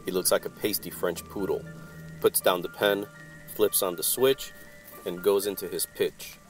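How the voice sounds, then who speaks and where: A man playing a gruff, wry, world-weary supernatural private detective, narrating in old-time noir radio style. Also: sits low, steady tone, normal speed, soft background music, natural voice. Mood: wry, brooding. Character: hard-boiled, wisecracking male supernatural private detective.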